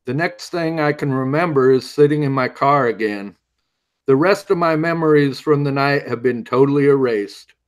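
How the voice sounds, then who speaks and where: A man's voice: medium pitch, moderate pace, close to an everyday voice. A man playing a wry pulp hero who tells his story in a dramatic, hardboiled way, in an audio drama. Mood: wry recounting. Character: wry male masked hero recalling his alien abduction.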